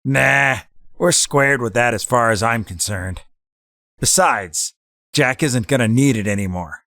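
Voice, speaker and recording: A man's voice: mid-range voice, unhurried, pushed and bright, no echo, studio quality. A man playing a cool, scheming new gangster boss in a hardboiled audio drama, unruffled and slangy. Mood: cool, assured.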